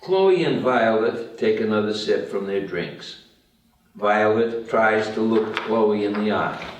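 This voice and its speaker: A man's voice: fairly low pitch, slow pace, slight background noise. A man reading scripted screenplay stage directions as the narrator at a table read. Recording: very echoey room, distant mic.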